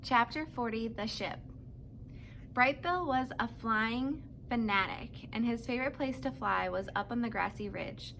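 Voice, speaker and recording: Lady doing a kids book read-aloud, highish voice, bit of background noise. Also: unhurried, vocal fry, a bit bright, rough recording. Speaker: storybook reader.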